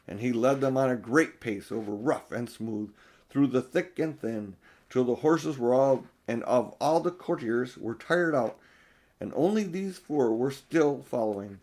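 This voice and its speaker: A man's voice: mid-range voice, lively intonation, a little slow, a little mellow. Older man reading a storybook aloud. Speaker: audiobook reader. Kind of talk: storybook read-aloud with casual asides.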